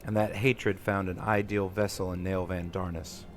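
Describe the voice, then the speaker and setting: A man voicing a solemn, articulate young nobleman from a fantasy game in formal, deliberate declamation. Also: low voice, little pitch movement, a little hiss or hum, close to an everyday voice. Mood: pensive, grave. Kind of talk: dramatic reading of game dialogue.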